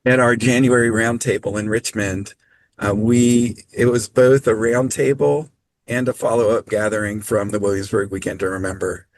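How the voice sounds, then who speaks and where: A man presenting on a video call training, speaking in a measured, practical way with frequent ums. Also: lowish voice, little pitch movement, no room sound, rough recording. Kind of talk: online training talk.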